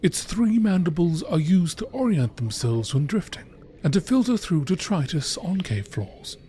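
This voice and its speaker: Higher-pitched man narrating like a nature documentary. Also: very animated, normal speed, warm tone, slight background noise.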